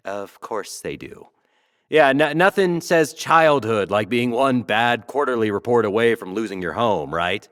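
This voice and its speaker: A brash, animated talk show host. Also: male voice, mid-range voice, slow pace. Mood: teasing, prying. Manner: showy, teasing, theatrical. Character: brash, flattering, self-adoring male talk show host. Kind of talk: audio drama acting as talk show host.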